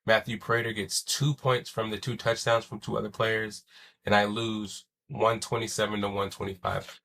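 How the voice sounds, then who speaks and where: A man's voice: fairly low pitch, flat delivery, normal speed, pushed and bright. A young man hosting a casual solo sports podcast, loose and slangy, sounding wheezy and stuffy from a chest cold. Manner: loose and conversational, swears, slangy asides. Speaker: podcast host.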